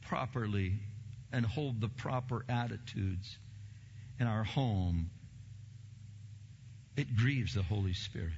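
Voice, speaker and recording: A pastor preaching a sermon in a church, a man with a big voice who swings from low, slow stresses to loud, emphatic bursts. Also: in a large hall, muffled recording, slight background noise.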